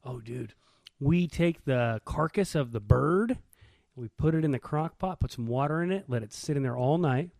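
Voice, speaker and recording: A man's voice: medium pitch, expressive, moderate pace, creaky, a little mellow, dry recording, clean studio sound. An American man in casual podcast banter, talking in an emphatic, slangy way. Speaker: podcast co-host.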